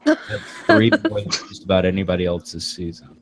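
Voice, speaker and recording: A man's voice: sits low, wildly swooping pitch, normal speed, slight background noise. A man speaking as a host in a casual group discussion, giving his commentary.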